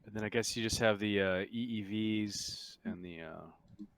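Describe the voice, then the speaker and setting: A man narrating a tabletop roleplaying game as game master, casually and with halting pauses. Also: fairly low pitch, fairly bright tone.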